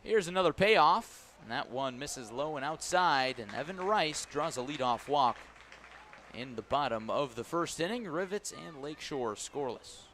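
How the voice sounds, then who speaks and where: A man's voice: on the high side, animated, moderate pace, a bit bright, some chatter behind, slight background noise. An American man giving live radio play-by-play of a baseball game as the announcer. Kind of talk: live radio sports commentary.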